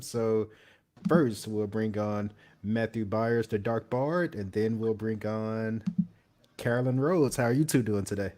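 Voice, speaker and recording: A man's voice: mid-range voice, a little mellow. A man hosting a live event with casual, unscripted introductions between performers. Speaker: host.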